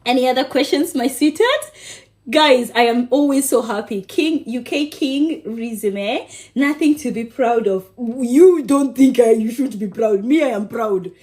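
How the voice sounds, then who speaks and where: A woman from Kenya chatting on a cooking livestream in a high, lively voice. She is bubbly and affectionate with her viewers, laughs often and teases them playfully. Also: a little slow. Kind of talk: casual livestream chat with viewers.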